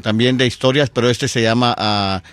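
Guest, male storyteller, middle-aged, dry studio sound, faint music bed, relaxed and filler-heavy. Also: medium pitch, smooth, dry recording. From Guatemala. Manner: unhurried, many fillers like "este". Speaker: storyteller and event producer, guest. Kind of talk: radio interview. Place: radio studio.

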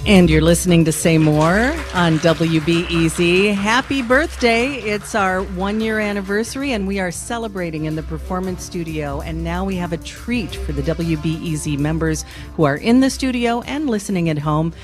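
Brief: woman radio host, lower pitch, cheerful and brisk introductions. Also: music in the background. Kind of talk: hosting a live radio talk show.

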